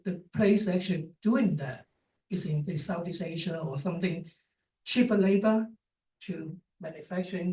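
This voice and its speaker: A man's voice: high-pitched, a little mellow. A man from Vietnam with a Vietnamese accent asking a spontaneous, loosely phrased question from the audience. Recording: echoey hall, distant microphone.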